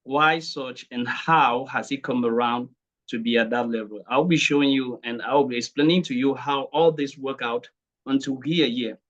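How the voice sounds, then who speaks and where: A man's voice: medium pitch, normal speed, fairly bright tone. Muffled Cameroonian man giving an online talk. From Cameroon, English-speaking region. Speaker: speaker sharing testimonial at online training. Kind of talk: informal presentation to an online group.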